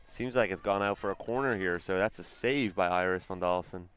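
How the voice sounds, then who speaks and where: Casting: male soccer commentator with a very low, smooth, resonant voice and a relaxed, chatty delivery. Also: fairly quick. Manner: easygoing, chatty, self-correcting. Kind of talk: play-by-play commentary.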